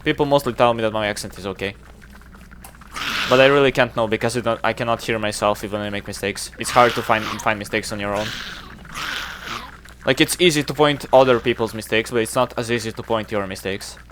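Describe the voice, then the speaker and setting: A man with a Serbian accent and a slightly low voice rambles casually to his stream chat, with a faint hiss of noise behind him. He speaks English as a second language and is chatty and self-deprecating. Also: steady tone, brisk. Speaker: game streamer.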